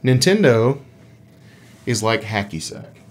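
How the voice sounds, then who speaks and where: A man's voice: mid-range voice, big pitch swings, slow. A man co-hosting a gaming podcast, chatting casually in a playful, rambling, explanatory way. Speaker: podcast co-host.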